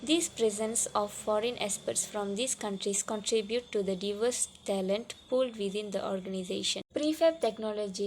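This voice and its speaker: A young woman reads a prepared report aloud in an even, scripted way, at a middle pitch. A faint hum sits under her voice. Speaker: student presenter.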